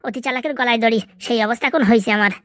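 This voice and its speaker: A woman's voice: on the high side, rapid-fire, no room sound, studio quality, close to an everyday voice. A woman playing a bitter, nagging village wife in a comedy cartoon, scolding and complaining sarcastically. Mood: bitter lament.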